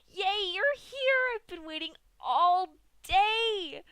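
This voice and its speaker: Pinkie Pie, a squealing hyper pony. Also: female voice, extremely high pitch, animated, slow, pushed and bright, no echo, studio quality, pitched above the performer's own voice, exaggerated voice. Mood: ecstatic. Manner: squealing, bouncy, gleeful. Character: hyper giggly young female pink pony.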